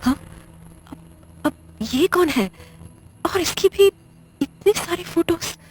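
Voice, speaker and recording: Woman acting a high-pitched, uneasy young widow. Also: lively intonation, a little mellow, exaggerated voice. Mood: suspicious, puzzled. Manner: hesitant, halting, muttering questions to herself. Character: uneasy, confused young widow in a horror story. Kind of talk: audio horror drama acting.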